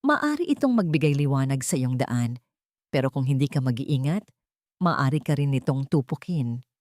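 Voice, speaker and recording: Woman narrator as a stern, measured older general. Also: very deep voice, animated, a little mellow, no room sound, studio quality, pitched below the performer's own voice. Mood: grave counsel. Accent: Filipino. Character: stern, wise older male military general and godfather.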